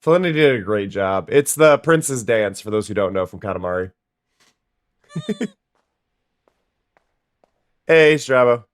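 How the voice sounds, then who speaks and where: Brief: young sniffly streamer, mid-pitch man's voice, chatty with restarts, dry booth sound. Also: lively intonation, takes it slowly, pauses often, dry recording. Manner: chatty, stumbling restarts, greets viewers. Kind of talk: casual gameplay chat.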